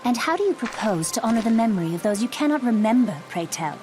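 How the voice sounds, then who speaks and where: An actress playing a sharp-tongued, skeptical young woman in a video game, speaking formally in a pointed, challenging way. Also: fairly high pitch, expressive, fast talker, faint music behind, natural voice.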